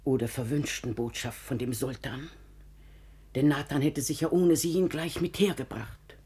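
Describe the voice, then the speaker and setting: A woman's voice: rumbling low, moderate pace, mellow, slightly rough, exaggerated voice. An actress playing a devoted, meddling older lady's companion in classical radio drama, speaking insistently. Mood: hopeful, fretful.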